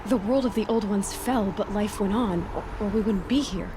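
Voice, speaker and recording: A woman's voice: medium pitch, normal speed, noisy background, close to an everyday voice. A woman playing a curious, determined young adventurer in a video game, musing aloud over background ambience. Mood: thoughtful.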